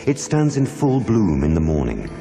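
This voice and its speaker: A man acts out a calm, wise spiritual master in a cartoon. His solemn, measured preaching rings in a long hall reverb, with clear music behind it. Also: fairly low pitch, full character voice. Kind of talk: cartoon voice acting.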